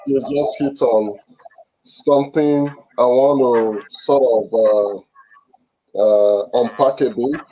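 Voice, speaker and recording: A man hosting an online interview over a video call, speaking haltingly with many fillers and restarts. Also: mid-range voice, dark tone, poor audio. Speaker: host.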